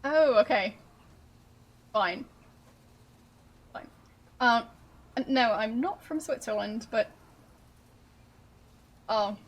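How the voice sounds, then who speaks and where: A woman streamer chatting casually with her viewers, relaxed and amused as she answers their guesses. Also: on the high side, drawn-out words, long pauses, dull audio.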